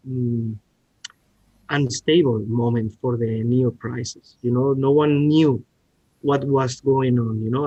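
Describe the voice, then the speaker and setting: A man with a Spanish accent giving a halting technical explanation as a guest expert, often restarting his words. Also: medium pitch, a little mellow, dry recording, poor audio. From Spain. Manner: halting, searching for words with repeated starts. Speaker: R&D director, podcast guest. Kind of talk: technical presentation and discussion.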